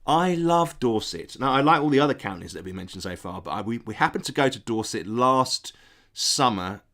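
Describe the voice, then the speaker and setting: A man's voice: mid-range voice, expressive, a little fast, a bit bright. Guy, kinda bunged up and nasal from a cold, chatting to his stream, lots of ums, middling voice. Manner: hesitant, many ums and restarts, friendly toward viewers. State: stuffy nose from a cold. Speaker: game designer hosting a live stream. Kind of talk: casual live-stream chat, reading out chat messages.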